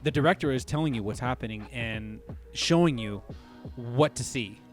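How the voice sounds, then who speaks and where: A man talking through his ideas on a casual podcast, circling back and restarting as he goes. His voice sits at an ordinary middle pitch. Faint music plays softly behind him. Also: moderate pace. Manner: thinks aloud, frequent restarts and "you know what I mean". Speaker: podcast co-host.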